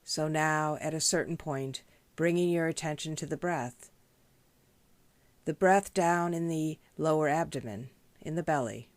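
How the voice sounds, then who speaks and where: A woman leading a guided meditation as a teacher, speaking in a gentle, measured, instructional way. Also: low-pitched, no room sound. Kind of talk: guided body scan meditation.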